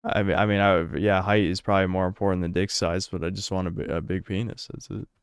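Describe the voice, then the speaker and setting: Blunt, crude man with a smooth voice. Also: deep voice, level tone, fast, no room sound, studio quality. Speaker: livestream host reading donations. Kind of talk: casual livestream chat.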